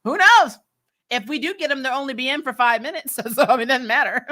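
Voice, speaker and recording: Casting: female recap host, bright forceful voice, candid and sassy, informal fan chat with emphatic opinions. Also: sits high, quick pace. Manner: candid, sassy, emphatic, colloquial with casual swearing. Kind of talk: casual fan commentary and chat.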